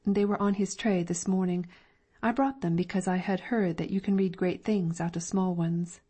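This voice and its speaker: A respectful Victorian landlady, read by a woman, who answers each question politely. The voice is dark and mellow with a breathy grain. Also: lowish voice, fairly even intonation, moderate pace. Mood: deferential. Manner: polite and deferential, calling him sir. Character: anxious Victorian London landlady, middle-aged. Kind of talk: audiobook dramatic reading.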